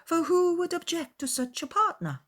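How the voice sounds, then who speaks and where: A woman voicing a gushing, courtly older gentleman in an audiobook dramatization. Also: very high voice, very animated, moderate pace, voice pushed up, full character voice. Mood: effusive, courtly. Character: effusive, gallant older gentleman, Sir William.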